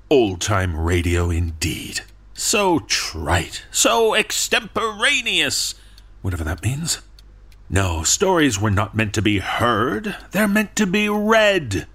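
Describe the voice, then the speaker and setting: A pompous, lovelorn bookshop owner in a theatrical radio monologue. His delivery is slow and very animated, with great swoops of pitch. He muses aloud, fussy and pleased with himself. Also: full character voice. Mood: haughty, wistful. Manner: theatrical, self-amused, chuckling, musing aloud. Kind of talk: old-time radio monologue.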